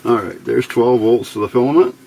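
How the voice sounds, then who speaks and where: A man's voice: medium pitch, fairly quick, vocal fry, mellow. A man giving a casual hands-on electronics demonstration, informally thinking aloud in short phrases. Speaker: electronics hobbyist presenter. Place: indoors at a workbench. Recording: noisy home-video recording.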